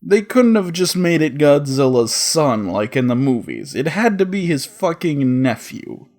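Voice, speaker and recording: A man's voice: on the high side, sing-song, measured pace, darkish tone. A man narrating a scripted comedic cartoon review as the host, with a sarcastic, profane delivery.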